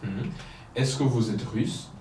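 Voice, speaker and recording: A man's voice: medium pitch, slow pace, darkish tone. A man teaching a French lesson, prompting and correcting his student patiently. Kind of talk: language lesson drill. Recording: roomy, distant mic, faint noise.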